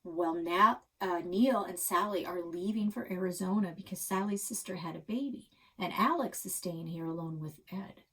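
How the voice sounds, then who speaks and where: A woman's voice: fairly low pitch, normal speed, hardly any pauses, pushed and bright. A woman voicing a cautious, practical, hesitant mother in a children's storybook. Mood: reluctant.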